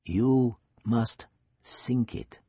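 A man's voice: mid-range voice, sing-song, measured pace, slightly rough, dry recording, clean studio sound, pitched above the performer's own voice, heavily acted. A man voicing an ebullient, intense Danish cello teacher in his fifties with a Danish accent and emphatic coaching delivery, as in an audiobook. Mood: quietly insistent. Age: 50s. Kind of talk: acted character in audiobook narration.

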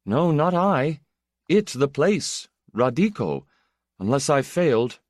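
Man voicing an intense, melancholy poet with animated pitch. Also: slow pace, no room sound, clean studio sound. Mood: intense, earnest. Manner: restless, confessional, emphatic. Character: intense, melancholy male poet, proud younger brother.

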